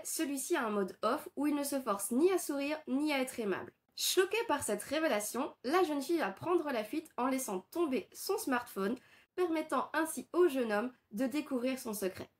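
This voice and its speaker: A woman with a cold, nasal and hoarse, hosting an eager manga review and reading out story summaries. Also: high voice. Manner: gushing, eager, warm praise. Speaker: manga reviewer and channel host. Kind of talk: enthusiastic book review with read-out summaries.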